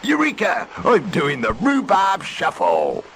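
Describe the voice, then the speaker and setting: Excitable cartoon pirate dog, hammy n grumbly, voice leaping all over, bit of tape noise. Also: male voice, on the high side, slow, pitched above the performer's own voice, heavily acted. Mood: gleeful. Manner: theatrical pirate exclamations, grandiose, grizzling. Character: excitable male cartoon dog playing a peg-legged pirate. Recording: faint noisy old tape transfer.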